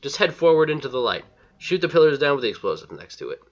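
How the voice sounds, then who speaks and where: A man's voice: fairly high pitch, sing-song, fast. A man giving casual, scripted video game walkthrough commentary in a blunt, wry manner, on a slightly dull recording.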